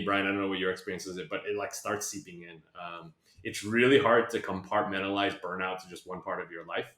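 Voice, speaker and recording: A man's voice: low-pitched, fairly bright tone. A man from Malaysia, a podcast guest, talking casually with lots of fillers and restarts. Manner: rambling, many fillers. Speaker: podcast guest, venture partner. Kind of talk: casual conversation. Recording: echoey room.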